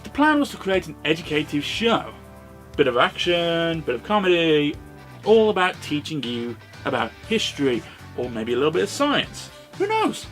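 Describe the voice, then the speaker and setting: British male review host, higher-pitched, very lively intonation, informal, blunt and sardonic. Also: normal speed, faint music behind. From Britain. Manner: opinionated, sardonic, sweary. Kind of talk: informal scripted video review commentary.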